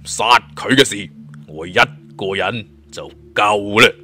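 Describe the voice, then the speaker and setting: A man's voice: on the low side, slow, pushed and bright, full character voice. A man voicing a swaggering, hot-tempered bandit brute. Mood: confident, menacing. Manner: menacing, boastful, coarse.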